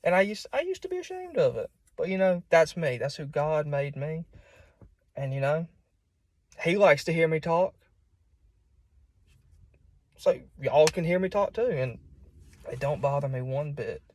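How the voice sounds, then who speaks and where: A man's voice: a little high, sing-song, measured pace, long pauses, dry recording. A young man with a Southern US accent, preaching in an informal, folksy and conversational way. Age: young adult. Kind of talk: informal sermon. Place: solo self-recording.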